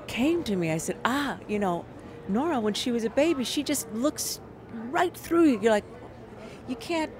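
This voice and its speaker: A woman guest singer speaking in an interview, soft-spoken and halting, with many pauses. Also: medium pitch. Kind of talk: interview conversation.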